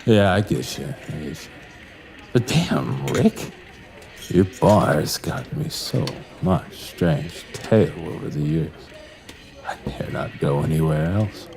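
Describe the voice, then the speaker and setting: A very low-voiced, lustful barfly broods his way through a noir audio drama. His breathy delivery is slow and gravelly, and music plays behind him. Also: sing-song, exaggerated voice. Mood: weary, friendly. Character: hard-drinking, lustful, brooding barfly Adam narrating noir-style.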